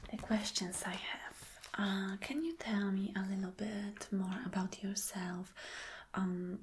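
A woman playing a flirty, caring boss in a soft, breathy, whispered ASMR roleplay, gentle and coaxing. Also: medium pitch, natural voice. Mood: coaxing, friendly. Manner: slow, gentle, coaxing, with hums of agreement. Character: flirty, caring female boss courting an employee. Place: small dim indoor room.

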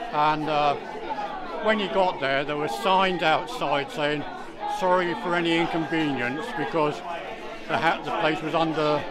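An older man in his sixties, an audience member, recalling a memory in an unhurried way, on a handheld phone in a theatre foyer. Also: on the high side. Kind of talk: recounting a memory. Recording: busy crowded foyer.

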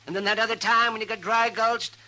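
An actor playing a crusty, teasing frontier doctor who mutters and chuckles, in a 1950s radio western. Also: male voice, sits high, bright, forceful tone, low-quality recording. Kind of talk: 1950s radio drama acting. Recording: vintage 1950s radio recording, faint noise.

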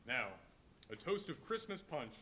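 An actor playing a meek, kindly father in a live stage reading, gentle and placating. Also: on the high side, sing-song, unhurried, a little hiss or hum, exaggerated voice.

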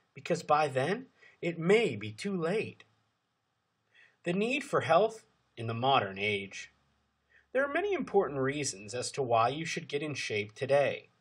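A man reading scripted instructional narration as a narrator, with emphatic, deliberate phrasing. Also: on the high side, fairly bright tone, slightly rough.

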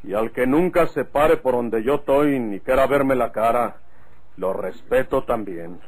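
An actor playing a dignified bandit with a Mexican accent in an old radio drama, speaking solemnly and deliberately. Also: male voice, mid-range voice, natural voice. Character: dignified, measured Mexican bandit seeking peace.

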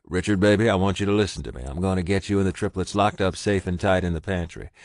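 A man's voice: fairly low pitch, sing-song, normal speed, dry recording, clean studio sound. A man reading the part of a rugged, protective cowboy alpha in an audiobook, folksy and commanding.

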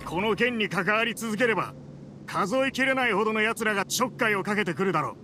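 Menacing, animated mercenary boss. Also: male voice, sits high, fast. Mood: angry shouting. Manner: taunting, threatening, rough-spoken. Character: menacing middle-aged male mercenary leader. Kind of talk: Japanese anime game voice acting. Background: background music.

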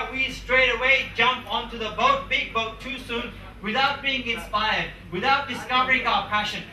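Young man, motivational speech, very bright tone, roomy echo, recorded from afar. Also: very high voice, far from the mic, a little hiss or hum. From England. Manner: emphatic, repetitive, rousing. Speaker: motivational speaker. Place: large conference room, indoors. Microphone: handheld mic, far camera. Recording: echoey room, recorded from afar.

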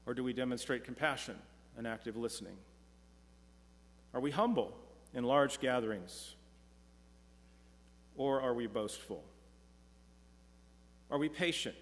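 A middle-aged man preaching a sermon from a pulpit, earnest and measured, slowing down when reading scripture. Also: mid-range voice. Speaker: pastor. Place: church sanctuary, speaking from a pulpit. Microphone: pulpit mic, far camera. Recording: long church reverb.

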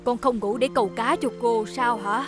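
Actress as a kind, high-voiced cartoon auntie. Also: female voice, fairly even intonation, moderate pace, over music, close to an everyday voice. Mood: gentle, concerned. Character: kind, caring adult female teacher or auntie. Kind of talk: Vietnamese cartoon dub.

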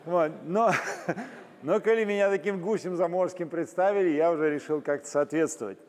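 A man's voice: high-pitched, moderate pace, a little mellow, slight background noise. An older man from Moscow giving a friendly, joking talk to a church audience, with frequent hesitation sounds. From Moscow, Russia. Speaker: guest lecturer. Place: large church hall. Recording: long hall reverb.

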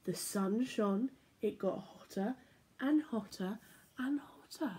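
A young woman reading picture books aloud to children from her living room, playful and expressive. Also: mid-range voice, slow pace, slight background noise. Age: young adult. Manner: animated, playful read-aloud with sound effects. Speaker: church children's storyteller. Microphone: laptop or phone camera at arm's length.

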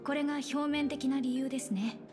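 An actress playing an earnest, polite young businesswoman in a game, crisp in her delivery. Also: sits high, fairly even intonation, fairly quick, lightly acted. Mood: polite, composed. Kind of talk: Japanese game voice acting. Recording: music behind the voice.

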